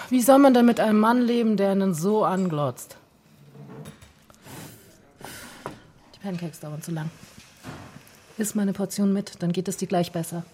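A woman's voice: fairly low pitch, warm tone, a little hiss or hum, close to an everyday voice. A woman playing a weary, teasing film actress who complains sardonically in a radio drama. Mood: teasing, exasperated.

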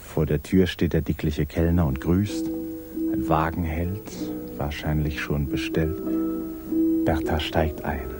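An actor playing the narrator of a 1950s German literary radio drama, telling it in a measured, intimate way. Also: male voice, extremely low pitch, fairly even intonation. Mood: tender. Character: male storyteller, measured, intimate observer of the lovers. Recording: 1950s radio production, loud music underneath. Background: music.

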